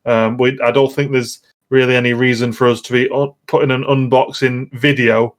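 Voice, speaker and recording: A man's voice: medium pitch, flat delivery, normal speed. A man hosting a casual podcast chat, rambling along with light banter. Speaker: podcast host.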